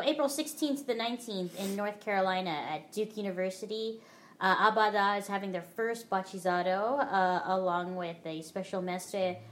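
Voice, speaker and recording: A woman's voice: medium pitch, slight background noise. A woman hosting a casual podcast, chatty and full of fillers as she reads out listings. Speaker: podcast host. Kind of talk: casual chat.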